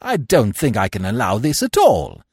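A man playing a witty, idle young gentleman who speaks in a wry, languid manner. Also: mid-range voice, huge pitch swings, normal speed, husky, no echo, clean studio sound, exaggerated voice.

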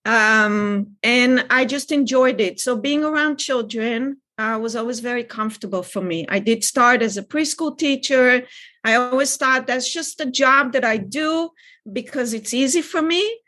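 A woman in her fifties from Israel, calmly answering interview questions as a child development expert. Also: on the high side, measured pace.

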